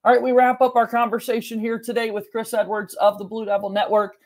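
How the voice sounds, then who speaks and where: A young man with a notably high-pitched voice hosts a sports podcast. He presents in the manner of a show host, recorded indoors in a small home room. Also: little pitch movement, brisk. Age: young adult. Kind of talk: sports podcast hosting and sponsor read.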